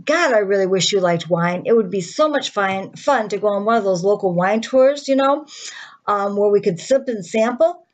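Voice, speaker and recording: A woman's voice: medium pitch, lively intonation, normal speed, natural voice. A woman playing an indignant, defensive, chatty woman who vents with sarcasm in a dramatic screenplay monologue. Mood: exasperated, defiant. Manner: sarcastic, exasperated, conversational venting.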